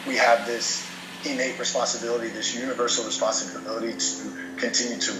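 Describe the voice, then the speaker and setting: A man's voice: mid-range voice, flat delivery, brisk, reverberant, noisy background. A man speaking as a podcast guest in casual chat, earnest and motivational, often restarting words as he goes.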